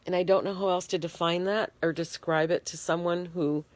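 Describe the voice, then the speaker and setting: A woman in a bedroom talking frankly into a handheld phone, congested and shivering, pausing often with fillers. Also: fairly low pitch, fairly even intonation, dry recording. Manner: plain-spoken, frank, halting with fillers. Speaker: vlogger. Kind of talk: casual personal video diary. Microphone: phone held in hand.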